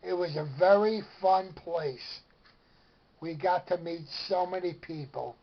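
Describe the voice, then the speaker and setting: A middle-aged man with a gravelly voice, rambling casually through old memories to camera at home. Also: high-pitched, measured pace, highs cut off, slight background noise. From Brooklyn, New York. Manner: rambling, colloquial storytelling. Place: at home with a pet dog.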